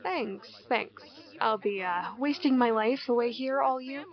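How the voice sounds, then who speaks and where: A woman's voice: fairly high pitch, a little slow. A young woman as a bored, overworked teen girl. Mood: weary. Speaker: lead character. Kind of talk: amateur audio drama acting. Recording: muffled lo-fi recording.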